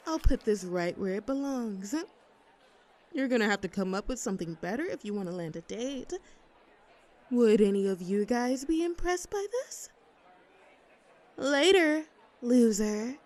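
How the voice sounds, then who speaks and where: A woman playing a young, teasing mean-girl student in a scripted audio roleplay, with a mocking, sarcastic, haughty manner. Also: fairly high pitch. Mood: mocking, scornful.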